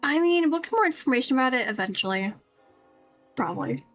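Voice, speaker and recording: A woman's voice: high-pitched, animated, fairly quick, fairly bright tone. A woman streaming a game, giving casual, chatty, playfully mocking commentary as she plays. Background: game music.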